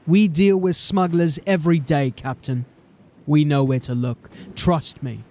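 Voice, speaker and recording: A man's voice: a little high, fairly even intonation, measured pace, warm tone. A man playing a stern male city watch sergeant who questions people in a formal, suspicious way. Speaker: police sergeant.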